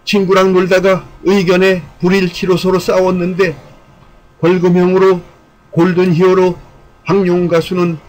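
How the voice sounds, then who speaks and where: A man's voice: high-pitched, monotone, normal speed, darkish tone, low-quality recording. A man delivering scripted commentary in a formal, speech-like way, with an earnest, rhetorical, declamatory manner. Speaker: YouTube channel commentator.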